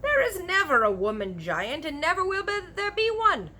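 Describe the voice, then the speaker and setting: Very old male storybook giant, played by a woman: earnest, blustery, mangled grammar, emphatic drawn-out words, forceful tone. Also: very high voice, very animated, slight background noise, pitched above the performer's own voice, heavily acted.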